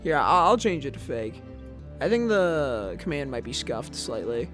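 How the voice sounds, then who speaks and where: A woman's voice: extremely low pitch, sing-song, a little slow. Chatty streamer woman over game music. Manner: chatty, jokey, self-interrupting, laughs often. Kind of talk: casual live-stream chat and manga reading. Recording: background music under voice.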